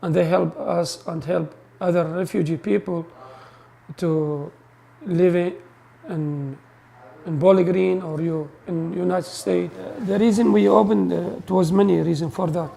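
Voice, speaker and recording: A man's voice: sits high, steady tone, measured pace, vocal fry, dark tone. A man from Iraq, a grocery store co-owner speaking hesitantly in a documentary interview. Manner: hesitant, frequent "uh" fillers.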